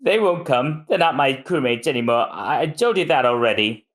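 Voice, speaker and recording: A man voicing a brash, hot-headed male shipwright gang boss in a loud, high, shouting voice. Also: lively intonation, moderate pace, roomy sound, background noise, close to an everyday voice. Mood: excited.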